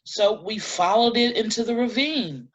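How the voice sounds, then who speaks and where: An actress playing a shaken, earnest woman giving deliberate testimony in a dramatic staged reading, on a lo-fi recording. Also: on the high side, lively intonation. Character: shaken, earnest woman recounting an eerie night in woods.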